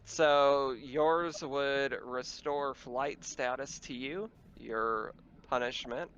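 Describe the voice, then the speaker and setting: A man's voice: mid-range voice, fairly even intonation, drawn-out words, a bit bright, clear, resonant tone, lo-fi sound. A man running a tabletop roleplaying game, narrating casually as game master.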